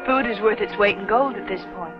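An actress playing a plainspoken young pioneer woman, on a dull old TV soundtrack with loud music. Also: medium pitch, a little fast, natural voice. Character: frank young female pioneer settler. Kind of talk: 1950s TV western acting.

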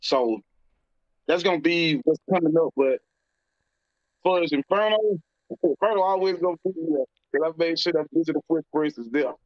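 A middle-aged American man gives casual, informal answers as an interview guest, halting as he goes. His voice is a touch high, with dry, slightly dulled call audio. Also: no echo, highs cut off. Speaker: interview guest, rapper and label CEO. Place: indoor room, video call.

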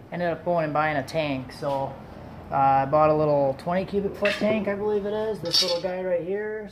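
A young man talking through a welding project in his home garage, relaxed and thinking aloud as he works, recorded on a camera mic that drifts near and far. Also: a little high, slight background noise. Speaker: DIY welding vlogger. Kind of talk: casual how-to narration. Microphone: camera mic, moving between close and far.

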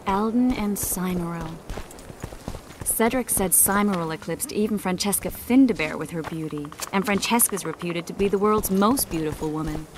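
A woman plays a young sorceress in a dramatic video game scene. Her voice sits a little low, tender and wistful, with expressive phrasing. Rain patters clearly behind her. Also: normal speed, lightly acted. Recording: steady rain and ambient noise behind.

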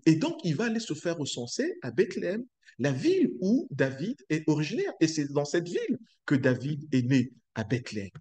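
A middle-aged man from Congo preaching a sermon to a webcam in a small room, slow and halting with many hesitations. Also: on the high side, a little mellow. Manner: sways and gestures while preaching. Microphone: webcam at close range.

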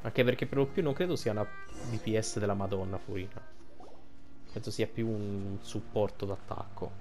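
A man's voice: lowish voice, moderate pace, darkish tone, soft background music. A man giving casual, informal playthrough commentary as a gaming streamer, thinking aloud.

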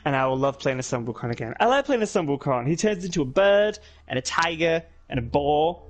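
A man's voice: fairly high pitch, sing-song, fairly quick. A young man chatting casually while gaming, explaining moves and swearing mildly. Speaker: co-player.

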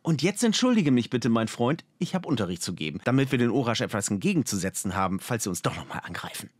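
A man's voice: a little high, very animated, moderate pace, no room sound, voice pushed up. A man voicing a grumpy, sardonic mage and exasperated friend in colloquial audiobook dialogue. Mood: annoyed.

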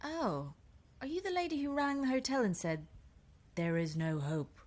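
An actress playing a flustered, indignant reluctant guest in a horror-comedy audio drama, with a prim manner. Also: female voice, high-pitched, very animated, moderate pace, a little mellow. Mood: wary. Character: flustered, indignant English woman, romance novelist, reluctant guest.

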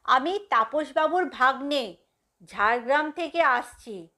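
A woman's voice: high-pitched, expressive, slow, bright, forceful tone, full character voice. A woman voicing a confident, curious young man in a story, with a familiar, questioning manner. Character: brisk, curious young man visiting his uncle's house. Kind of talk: dramatised story reading.

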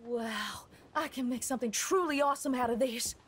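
An actress playing a bossy, excitable young mechanic in a video game, brash, sassy and pushy. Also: female voice, a little high, moderate pace, close to an everyday voice. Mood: excited. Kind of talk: video game cutscene acting. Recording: noisy game cutscene audio.

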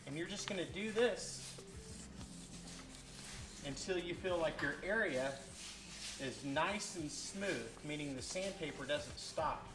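A man's voice: a little high, expressive, slow pace, long pauses, rough recording. A man in his thirties, a boat dealer hosting his own channel, giving a casual, folksy how-to demonstration in a large showroom. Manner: easygoing, folksy instructional talk.

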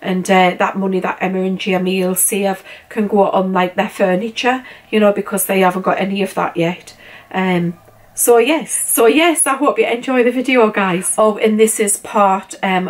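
A woman in her forties with a British accent, chatting casually to camera in her living room, giggly and full of fillers. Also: medium pitch. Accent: British English. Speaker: vlogger. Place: living room at home, indoors.

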